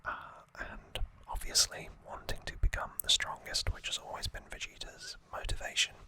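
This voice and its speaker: An ASMR host telling a story in a breathy, unvoiced whisper, gentle, unhurried and informal.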